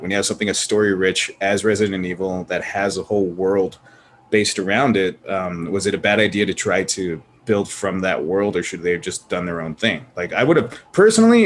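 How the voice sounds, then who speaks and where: Opinionated male podcast host, lowish voice, rambling and emphatic, slight noise behind him. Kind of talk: casual panel discussion about movies.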